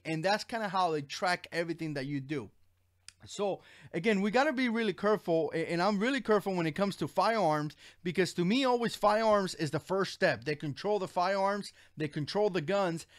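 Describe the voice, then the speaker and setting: Cuban-born male streamer, slightly high voice, unscripted earnest rambling commentary. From Cuba. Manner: rambling, earnest, frequent fillers and restarts. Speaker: channel host. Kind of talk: casual livestream commentary.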